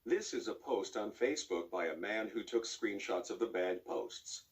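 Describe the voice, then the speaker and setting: A man's voice: lowish voice, animated, normal speed. A man reading a scripted news bulletin as an announcer. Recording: dull lo-fi, distant sound.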